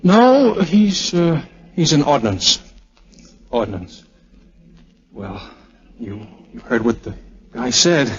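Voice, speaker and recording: American army major in vintage radio drama. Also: male voice, medium pitch, lively intonation, slow pace, long pauses. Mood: tender, uneasy. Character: army major hiding bad news, tender, brave. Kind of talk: 1940s radio drama acting. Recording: muffled vintage 1940s radio recording.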